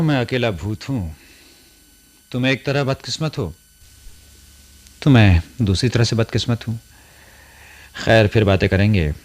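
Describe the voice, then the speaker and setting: Earnest, expressive lovelorn gentleman. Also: mid-range voice. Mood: coaxing. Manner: dramatic, earnest. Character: earnest, kindly, lovelorn man torn between two women. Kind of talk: Hindi radio play acting.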